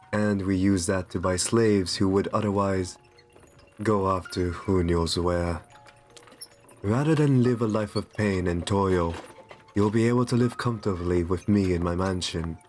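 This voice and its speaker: Guy doing a courtly vampire gentleman for asmr, soft n soothing, real low voice, takes his time, music behind. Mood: calm explaining. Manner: soft, soothing, genteel, unhurried. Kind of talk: ASMR roleplay acting.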